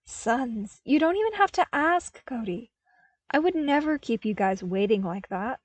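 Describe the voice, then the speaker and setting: A woman acts out an earnest young man from an audiobook story. He is animated and emphatic, quick to get baffled or exasperated. The sound is dry, with no room on it. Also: a little high, slightly rough, no room sound, pitched above the performer's own voice. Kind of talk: audiobook character acting.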